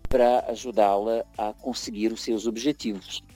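Brazilian male guest, low warm voice, halting delivery, faint music bed. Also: fairly even intonation. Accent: Brazilian Portuguese, partly picked up in Portugal. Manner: hesitant, many fillers and restarts. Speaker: interview guest, association leader and fado singer. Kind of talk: broadcast interview conversation.

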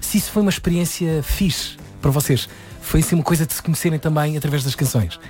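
A man's voice: fairly high pitch, fast, darkish tone, soft background music. A man with a European Portuguese accent, presenting and interviewing conversationally as a radio host.

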